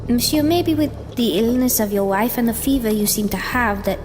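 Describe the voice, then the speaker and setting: A woman as a polite, high-voiced lady physician. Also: moderate pace, natural voice. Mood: calm reassurance. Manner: polite, careful, placating. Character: deferential, firmly rational female physician of old Paris. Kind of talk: audio drama acting. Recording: music under the voice.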